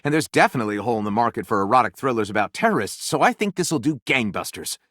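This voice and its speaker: A man voicing a flamboyant, theatrical storyteller for a video game, moving between grandiose narration and gushing excitement. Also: medium pitch, very fast, no room sound, studio quality. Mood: gushing, delighted. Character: flamboyant, theatrical storyteller co-writing lurid pulp novels.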